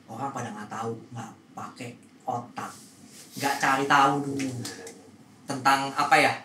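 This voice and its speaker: A man's voice: mid-range voice, fairly even intonation, a little slow, pushed and bright. A man speaking as a blunt, opinionated host in a casual podcast discussion. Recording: roomy echo, distant mic, faint noise.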